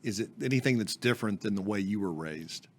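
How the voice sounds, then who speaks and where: A male podcast host who heaps praise on his guest. He rambles and restarts often, piling on fillers. His voice is on the low side. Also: lively intonation, brisk. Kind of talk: casual interview.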